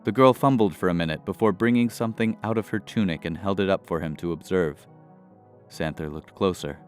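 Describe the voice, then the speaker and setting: A man's voice: deep voice, fairly quick, darkish tone, soft background music. A man narrating a scripted fantasy audio drama as its storyteller.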